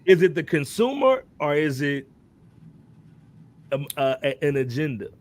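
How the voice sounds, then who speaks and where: An American man with a slightly high voice hosts a music podcast. He keeps it casual and conversational as he puts questions to the panel. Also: very animated, measured pace. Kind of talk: casual chat, posing questions.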